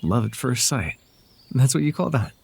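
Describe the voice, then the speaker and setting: A man acts out a sly, whimsical fairy man in an intimate ASMR roleplay. His voice is husky and creaky, with pitch that swings expressively. He talks in a hesitant, conversational way, softly possessive underneath. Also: lively intonation, moderate pace. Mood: smitten.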